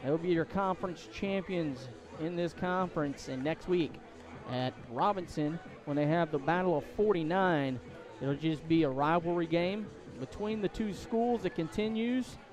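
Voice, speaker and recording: A man doing excitable live play-by-play commentary of a high school football game, outdoors at the stadium. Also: moderate pace, music in the background. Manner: excitable, voice climbs to shouts on big plays. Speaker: play-by-play sports announcer.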